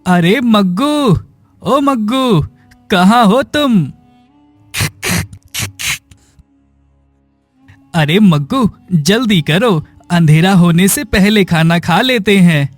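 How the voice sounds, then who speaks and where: Male actor as humble poor cartoon villager: high-pitched, unhurried, earnest, meek, music behind. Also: animated, no echo. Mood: calling fondly.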